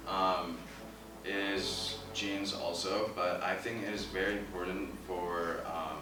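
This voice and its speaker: Young guy from syria, really low voice, giving friendly packing tips, echoey room, mic kinda far, music under it. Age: young adult. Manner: friendly, advising, addresses viewers as guys. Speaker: international student. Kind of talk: casual informational video.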